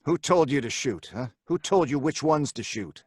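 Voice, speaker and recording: A man's voice: medium pitch, expressive, moderate pace, breathy edge, no echo, clean studio sound. An actor playing a cocky, slangy robber and gang leader who tells his story in old time radio crime drama style. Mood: impatient, cocky. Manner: slangy, hard-boiled, colloquial.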